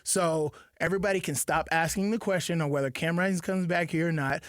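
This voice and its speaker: Male radio co-host, ex-player, higher pitch, emphatic, dry mic. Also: steady tone, dry recording. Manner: quick agreeing interjections, emphatic repeats. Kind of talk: casual sports talk.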